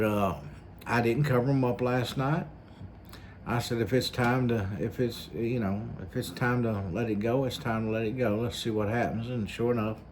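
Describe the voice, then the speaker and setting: A man's voice: lowish voice, a little mellow. A man with a slightly scratchy throat, rambling folksily in a casual vlog with lots of fillers. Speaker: vlogger. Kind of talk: casual rambling monologue to camera.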